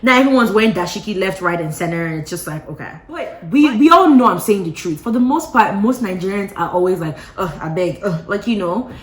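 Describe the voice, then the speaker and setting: A woman vlogger casually telling a gossipy story to her viewers, animated and full of playful asides. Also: medium pitch, fairly quick. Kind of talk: casual gossip chat to camera. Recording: roomy echo.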